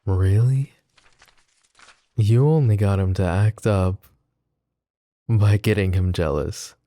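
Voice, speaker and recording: A man playing a deep, slow-spoken mafia boss. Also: dry recording, clean studio sound. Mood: candid. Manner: calm, confiding, casually profane. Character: possessive, protective adult male mafia boss. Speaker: voice actor. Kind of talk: boyfriend ASMR roleplay.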